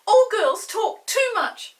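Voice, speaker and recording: A woman reading a storybook voices a prim little boy pixie who lectures and takes offence, in a high, lively voice. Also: slow pace, far from the mic, heavily acted. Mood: huffy. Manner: preachy, huffy.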